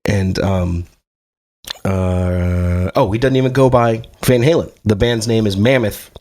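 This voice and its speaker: Low-voiced man losing his voice. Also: unhurried, a little mellow. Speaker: podcast co-host. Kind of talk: casual chat.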